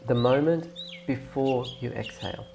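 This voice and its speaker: A man leading a guided meditation in a gentle, instructing way, leaving long pauses between phrases. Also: medium pitch, background noise. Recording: clear birdsong and nature ambience behind. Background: birdsong, nature ambience.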